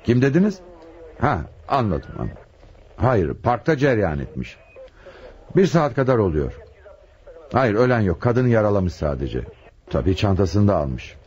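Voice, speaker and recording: A grave, determined chief inspector in a radio drama. His low voice moves with animated inflection. The recording is muffled, with faint noise. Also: unhurried, long pauses. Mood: official, firm. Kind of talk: radio play acting.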